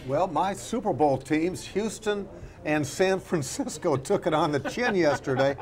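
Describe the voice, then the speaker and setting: A man's voice: a little high, expressive, unhurried, faint music behind. A man giving conversational sports commentary as a panelist on a show.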